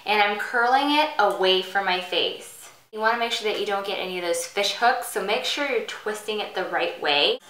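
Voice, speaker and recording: A woman's voice: mid-range voice, expressive, moderate pace, hardly any pauses, fairly bright tone, echoey room, slight background noise, poor audio. A woman hosting a casual step-by-step hairstyling tutorial, explaining in an instructional way.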